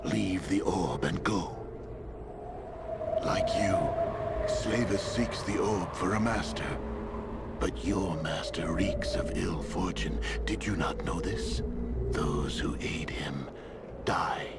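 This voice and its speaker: An actor voicing a menacing inhuman warrior in a video game, speaking in a formal, ominous manner. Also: male voice, sits low, takes it slowly. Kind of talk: video game cutscene acting. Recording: loud music under the voice.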